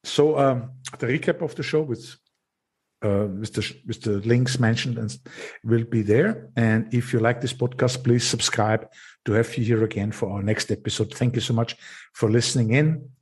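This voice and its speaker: Austrian male podcast host, mid-range pitch, restarts and stammers, chatty. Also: steady tone. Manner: halting, repeats words, laughs softly. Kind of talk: casual interview chat.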